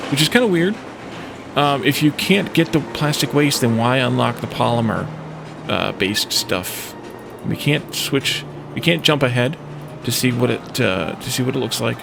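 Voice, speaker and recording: A man's voice: mid-range voice, moderate pace, creaky, background noise. A man giving casual gameplay commentary, thinking aloud and musing his way through problems as a let's play host. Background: background music.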